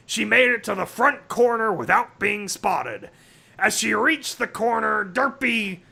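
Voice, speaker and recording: A man hosting a livestream, reading a comic story aloud in an animated, dramatic way with casual asides. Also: high voice, creaky. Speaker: livestream host.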